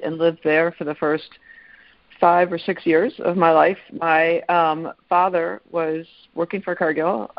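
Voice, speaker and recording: Female guest, 60s, American accent, fry in the voice, conversational, dry lo-fi recording. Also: low-pitched, no echo. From Minnesota, United States. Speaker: podcast guest. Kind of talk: casual autobiographical interview talk. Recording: dull, lo-fi call audio.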